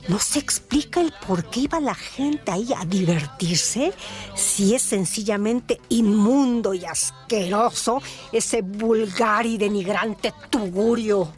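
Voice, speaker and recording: Female narrator, Mexican accent, medium pitch, theatrical storytelling with weighted phrases, music bed. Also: takes it slowly. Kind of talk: dramatized radio storytelling.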